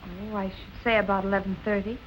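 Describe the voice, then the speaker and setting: An actress playing an earnest, anxious young fiancée in a 1940s film noir. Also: female voice, medium pitch, level tone, fairly quick, slight background noise, natural voice. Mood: uneasy. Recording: muffled old film soundtrack.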